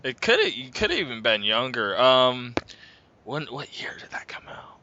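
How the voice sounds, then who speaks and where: A man's voice: mid-range voice, sing-song, moderate pace, sharp tone. A man in his twenties chatting loosely as a podcast host, rambling and full of fillers. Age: 20s. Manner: loose, rambling, says "like" a lot. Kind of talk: casual chat. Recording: dull lo-fi podcast mic.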